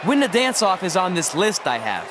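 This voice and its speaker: A man's voice: high voice, normal speed, crowd noise, background noise. A young man playing a cocky, defensive pro wrestler in a video game cutscene. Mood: indignant, comic. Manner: animated, indignant.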